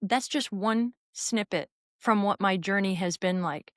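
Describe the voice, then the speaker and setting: A woman hosting a fitness podcast, talking in an energetic, rambling, emphatic way. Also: mid-range voice, measured pace, no echo, clean studio sound. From raised in a military family. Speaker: podcast host and fitness trainer. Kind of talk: conversational interview.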